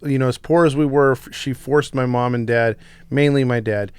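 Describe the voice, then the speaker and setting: A middle-aged man talks casually as a podcast co-host, in a smooth, clear voice of ordinary pitch. The sound is close and dry. He is informal and loose, often breaking off and restarting a sentence mid-thought. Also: steady tone, normal speed, darkish tone, no echo. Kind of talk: casual chat, reminiscing about pop culture.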